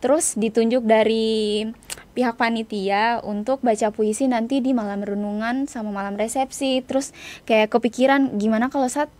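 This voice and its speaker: Young adult female interview guest, slightly high voice, informal, hesitant phrasing. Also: steady tone, moderate pace, a little mellow. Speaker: interview guest, student writer. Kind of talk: casual interview chat.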